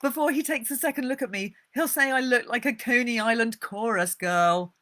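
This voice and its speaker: A woman voicing an anxious, eager young wife in a storybook reading, speaking high and urgently. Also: normal speed, sharp tone.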